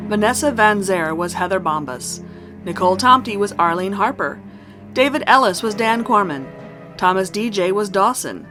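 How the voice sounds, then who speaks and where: A woman announcer reading scripted credits for an audio drama. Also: mid-range voice. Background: music.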